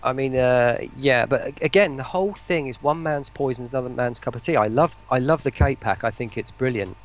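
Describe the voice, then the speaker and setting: Guy with a creaky gravelly voice rambling on excitedly in a casual interview. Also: medium pitch, a little fast. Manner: rambling, enthusiastic, self-interrupting. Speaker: voice pack producer, stream guest.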